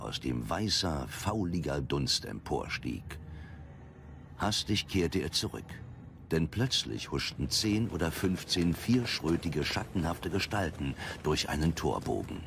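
A man's voice: a bass voice, creaky, heavily acted. A man acting as the storyteller of an epic fantasy tale in scripted, dramatic narration.

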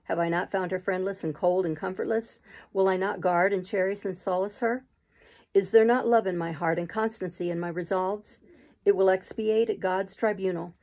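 Lady reading as a brooding gentleman in love, passionate and bossy, urgent, gravelly storybook voice. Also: high-pitched, fairly even intonation, normal speed. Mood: defiant, fervent. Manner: urgent, commanding declarations.

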